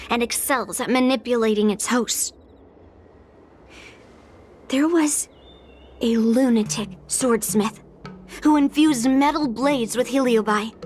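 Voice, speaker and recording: A woman's voice: a little high, close to an everyday voice. A woman playing a serious, headstrong young swordswoman in a video game, speaking with defiant determination. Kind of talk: video game character acting.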